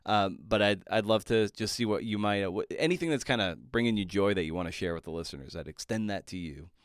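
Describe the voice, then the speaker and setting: Male podcast host, lower register, wry and self-deprecating, conversational, very dry recording. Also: quick pace, clear, resonant tone, no room sound. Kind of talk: casual podcast interview and wry monologue.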